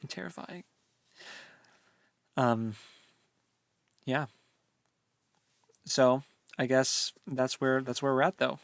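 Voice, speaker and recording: A man co-hosting a book discussion podcast, chatting casually and thinking aloud in a halting, colloquial way. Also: medium pitch, gravelly, no echo. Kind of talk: casual chat about a novel.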